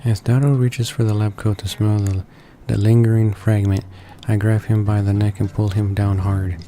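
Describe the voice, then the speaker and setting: Male let's play narrator, deep-ish and even in intonation, halting read-aloud delivery of game text. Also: slight background noise. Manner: stumbles and rereads words while reading. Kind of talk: reading a visual novel aloud. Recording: voice-over with game music behind.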